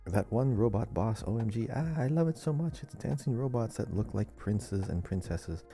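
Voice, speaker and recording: A man's voice: fairly low pitch, expressive, normal speed, mellow, soft background music. A man co-hosting a casual gaming podcast, chatting and reading listener messages with chatty asides. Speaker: podcast co-host.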